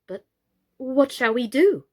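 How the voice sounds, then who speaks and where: A woman's voice: sits high, expressive, takes it slowly, darkish tone, pitched above the performer's own voice, full character voice. A woman reading a storybook, voicing a timid, dreamy young girl in a hesitant, questioning way. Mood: unsure.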